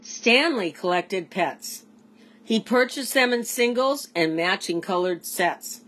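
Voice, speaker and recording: A woman, a teacher, reading aloud to children in an expressive storybook style. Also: mid-range voice, takes it slowly, poor audio.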